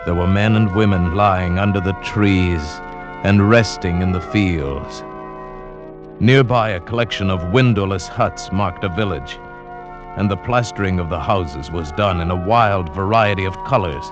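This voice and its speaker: A man's voice: sits low, a little slow, highs cut off. An actor playing an eager, self-assured mountaineer telling his adventure in theatrical vintage radio drama style. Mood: wondering, narrating. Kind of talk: vintage radio drama acting, narration and dialogue. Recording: vintage radio broadcast with music behind.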